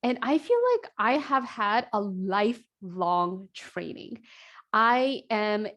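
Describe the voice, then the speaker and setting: A woman in her forties, an executive coach hosting an online group coaching call, talking in an energetic, conversational way with many fillers. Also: medium pitch, takes it slowly. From South Korea, raised in New Jersey. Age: 40s.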